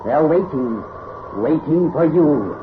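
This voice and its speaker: A man's voice: fairly high pitch, lively intonation, slow pace. A man announcing a 1930s radio horror show in a dramatic, ominous manner. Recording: very noisy, tinny old radio recording.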